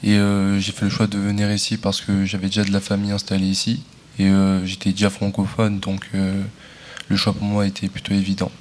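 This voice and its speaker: A young man, a student guest on live radio, speaking in a calm, plain and even way. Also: low-pitched, brisk, slight background noise. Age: young adult.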